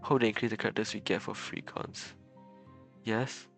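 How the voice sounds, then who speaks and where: Low-voiced man chatting on a game stream. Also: steady tone, normal speed, vocal fry, faint music behind. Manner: stammering, repeats words and phrases; chuckles often between remarks. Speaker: game livestreamer. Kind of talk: casual livestream chat with viewers.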